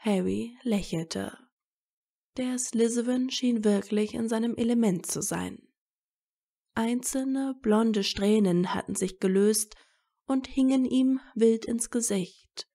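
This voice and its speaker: A woman's voice: fairly high pitch, takes it slowly, dark tone. A woman reading a story aloud as its narrator in a scripted audiobook style.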